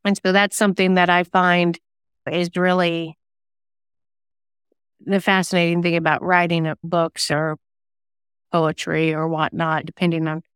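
A woman's voice: lowish voice, flat delivery, measured pace, long pauses, fairly bright tone, smooth, no room sound, clean studio sound. A woman talking reflectively as an interview guest, thoughtfully telling personal anecdotes about creative work. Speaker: singer-songwriter and novelist guest; former radio DJ.

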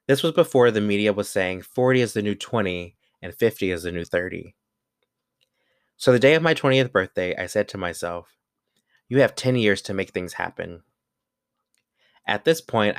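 A man in his twenties with a very low, deep voice, recorded close and dry with no room sound. He talks in a relaxed, candid way, pausing on fillers and correcting himself as he goes. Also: no echo. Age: 20s. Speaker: vlogger. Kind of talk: reading his own essay aloud, then casual reflection.

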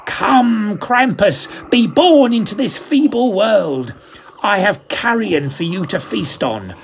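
A manic, sinister magus barks his summons high and loud, as a man acts him out. His lines hiss and soar in a lively, theatrical swing, over a faint hum of background noise. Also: takes it slowly, gravelly, heavily acted. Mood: commanding. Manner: hissing, commanding, theatrical.

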